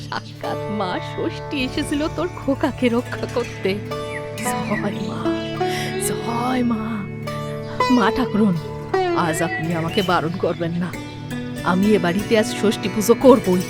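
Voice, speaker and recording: A woman voicing a devout older village mother-in-law in an animated horror cartoon, speaking in a scolding, fervent way. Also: mid-range voice, measured pace, natural voice. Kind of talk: animated horror story dub. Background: background music.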